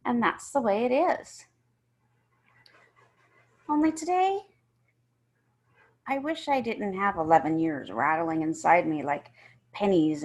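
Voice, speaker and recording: A woman's voice: fairly low pitch, creaky. A woman reading a first-person children's story aloud, emphatically and with acted-out feeling. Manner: emphatic, acts out the characters.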